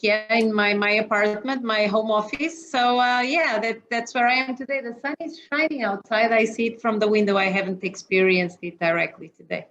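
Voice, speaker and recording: A woman's voice: fairly high pitch, normal speed, few pauses, a bit bright. A woman with a Portuguese accent, a guest talking casually from her home office on a video call, hesitant with many fillers. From Mozambique. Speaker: interview guest, operations executive. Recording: muffled lo-fi video call audio.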